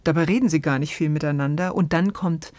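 Frau mit tieferer Stimme, nachdenklich plaudernd. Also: female voice, deep voice, a little fast, heavy background noise. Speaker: host of a radio drama podcast. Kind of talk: casual conversational review of radio plays.

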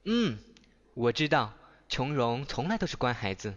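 A man's voice: mid-range voice, very animated, moderate pace, natural voice. Man playing a kind teenage boy, echoey recording. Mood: gentle, fond. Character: kind, earnest teenage boy, doting elder brother. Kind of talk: Chinese fantasy audio drama acting. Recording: echoey, muffled, under loud music.

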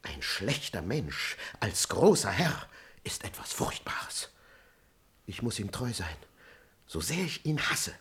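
Casting: a garrulous, indignant servant of a wicked lord for stage-style radio drama; very low, rough-edged voice, theatrical and confiding. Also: male voice, very animated, takes it slowly, fairly bright tone, heavily acted. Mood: fearful, bitter. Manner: theatrical, emphatic, confiding and pompous. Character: talkative, indignant, cowardly manservant of a wicked lord. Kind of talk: classic comedy radio play acting.

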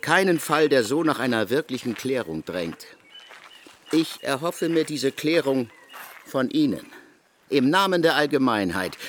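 A radio-drama criminologist, pompous and probing. His mid-pitched voice swings widely as he presses and lectures with emphatic insistence. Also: fairly bright tone, a little hiss or hum. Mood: taunting. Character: pompous, probing male criminologist interrogating a convicted killer. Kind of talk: German radio drama acting.

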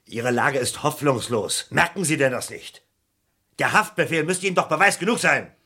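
An actor playing a dogged, insistent British police detective in a German radio crime drama, theatrical and emphatic, with a gravelly voice. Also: male voice, a little high, animated, moderate pace, pushed and bright, heavily acted. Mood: stern, insistent.

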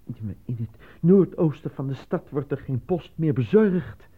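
A man acting as a worn-down, pleading out-of-work actor in a radio drama, his voice husky and hesitant. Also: fairly high pitch, lively intonation, normal speed. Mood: dejected, pleading. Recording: thin phone-like old radio recording.

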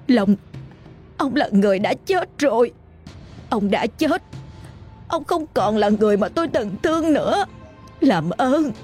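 A woman's voice: a little high, big pitch swings, slow, long pauses, a little mellow, faint music behind, voice pushed up. A woman voicing a desperate middle-aged wife who cries out in anger and pleading in a spoken drama story. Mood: angry tearful. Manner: pleading, crying out.

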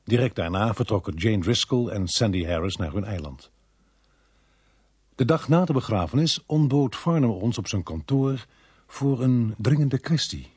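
Animated, earnest radio play narrator. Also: male voice, fairly low pitch, normal speed, slightly rough, no echo. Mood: suspenseful narrating. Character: earnest male narrator-protagonist, puzzled companion to an investigator. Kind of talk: dramatic first-person radio play narration.

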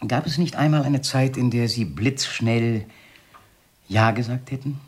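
An actor playing a sinister, jealous doctor in a German radio play, speaking with menacing politeness that gives way to taunts and whispers. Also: male voice, mid-range voice, measured pace, breathy edge. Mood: insinuating, mocking. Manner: silky mock courtesy breaking into outbursts and whispers.

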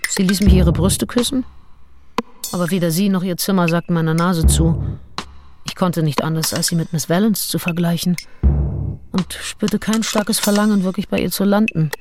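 Low-voiced, self-assured seductive gentlewoman, played by an actress. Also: steady tone, moderate pace, natural voice. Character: confident, seductive 19th-century gentlewoman recounting her conquests. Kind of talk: radio drama acting, first-person diary narration. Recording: studio voice over prominent music. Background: music.

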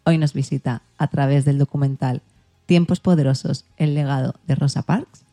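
Woman podcast host, very mellow soft-edged voice. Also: rumbling low, fairly even intonation, normal speed. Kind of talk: scripted podcast presentation.

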